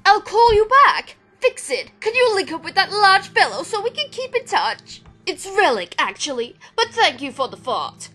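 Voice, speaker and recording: A woman's voice: extremely high pitch, big pitch swings, normal speed, hardly any pauses, pushed and bright, faint music behind, exaggerated voice. A woman voicing a prim, scholarly female pika archaeologist who speaks in a genteel, chatty and easily flustered way. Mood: excited, flustered. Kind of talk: fan voice acting reel.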